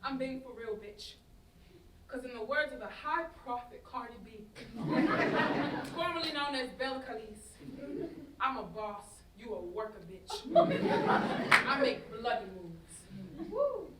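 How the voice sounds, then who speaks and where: A woman's voice: fairly high pitch, expressive, a little slow, pauses often. A young woman playing a jilted, sarcastic young woman, slangy and emphatic, in a staged play reading. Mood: indignant, defiant. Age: young adult. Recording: roomy, distant stage mic, faint noise.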